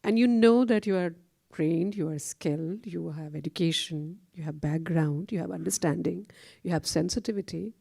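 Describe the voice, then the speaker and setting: A woman's voice: on the low side, moderate pace, vocal fry, hardly any pauses, dark tone, husky, no room sound, studio quality. A woman on a discussion panel, answering a question in an earnest, reflective way.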